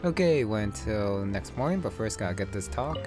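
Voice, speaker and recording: A man giving casual, low-key commentary as he plays a video game, musing aloud. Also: expressive, normal speed, over music. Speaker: gamer commenting on his playthrough.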